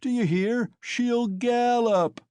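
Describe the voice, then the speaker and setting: Drunken young peasant bully put on by a man, bellowing, boastful, high and loud, dry close sound. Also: takes it slowly, smooth, no room sound. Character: drunken brutal young male peasant cart driver.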